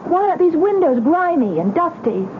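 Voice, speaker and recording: High-voiced, insistent young wife, tinny radio. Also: female voice, big pitch swings, moderate pace. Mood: defiant. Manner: pleading, emphatic repetition. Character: distraught, insistent young wife doubting her own sanity. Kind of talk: old-time radio mystery acting. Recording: noisy, tinny old radio broadcast.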